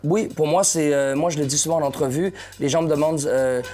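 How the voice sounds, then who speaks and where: A man's voice: fairly high pitch, fairly quick, warm tone, soft background music. A man in his thirties with a Québécois accent, answering interview questions thoughtfully with frequent hesitations and restarts, on a lapel mic in a small lounge studio. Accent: Québécois French. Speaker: hip-hop artist guest.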